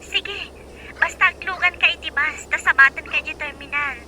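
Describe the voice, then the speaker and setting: An actress playing a helpful, friendly young nurse talking to a friend in a radio drama. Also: female voice, sits high, moderate pace, lightly acted. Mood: welcoming. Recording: very noisy, with ambient sound.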